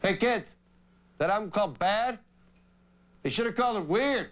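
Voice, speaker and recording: An actor playing a smug middle-aged suburban dad who grumbles in corny jokes. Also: male voice, high-pitched, lively intonation, normal speed, noisy background. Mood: smug mocking. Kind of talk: pre-taped comedy sketch.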